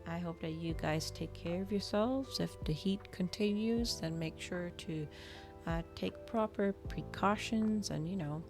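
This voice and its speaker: A woman's voice: fairly low pitch, measured pace, over music. A woman livestreamer chatting casually to her viewers, rambling, trailing off and thinking aloud. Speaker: livestreamer hosting an ASMR and gaming channel.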